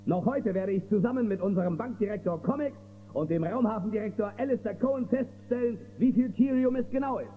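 A man's voice: sits high, a little fast, close to an everyday voice. An actor playing a heroic, confident space western lawman in a children's audio drama, a man's voice. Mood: reassuring. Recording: tinny, noisy old cassette audio drama.

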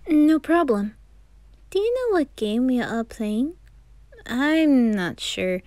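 Gentle, bashful anime sailor boy played by a woman, highish and unhurried, caring and hesitant. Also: big pitch swings, full character voice. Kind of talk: amateur anime audio roleplay.

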